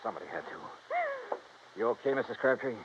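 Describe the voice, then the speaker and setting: An actor playing a wry, tough investigator, acting and narrating in the style of 1950s radio noir. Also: male voice, medium pitch, lively intonation, fast, close to an everyday voice. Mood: gentle. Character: wry, tough, steady male investigator narrating his case. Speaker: star of a radio detective series. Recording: noisy, muffled 1950s radio recording.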